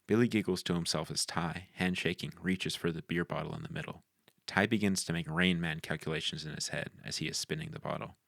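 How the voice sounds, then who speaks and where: Casting: male screenplay narrator, very low and creaky, recorded dry. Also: fairly even intonation, brisk, fairly bright tone, dry recording. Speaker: narrator reading stage directions. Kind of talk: scripted narration at a film table read.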